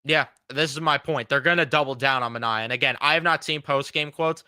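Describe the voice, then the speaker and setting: Young male fan-show host with a cold: very bright, crisp voice, blunt and profane. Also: medium pitch, fairly even intonation, a little fast, dry recording, clean studio sound. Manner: blunt, frustrated, swears freely. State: fighting a cold. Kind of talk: casual reaction commentary.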